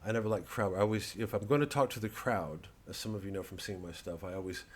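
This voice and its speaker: A man who is a comedian, talking casually to his audience in a halting, digressive monologue with repeated words. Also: on the low side. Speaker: comedian, host.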